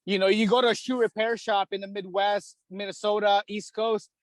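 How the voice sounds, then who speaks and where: Guest, male, from the Philippines, slightly high voice, loose rambling talker, dry close mic. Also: level tone, normal speed, no room sound. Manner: rambling, many fillers like "dude" and "you know". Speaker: guest, hockey equipment repair shop owner. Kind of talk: casual podcast chat.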